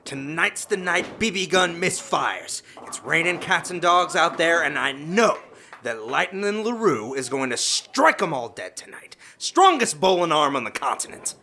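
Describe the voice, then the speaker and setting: Touchy 50s high roller, sharp tense voice swinging up and down, bit of noise behind. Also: male voice, measured pace, exaggerated voice. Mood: excited. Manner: excitable, indignant. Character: touchy, boastful male 1950s high-rolling bowling gambler. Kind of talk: radio drama acting.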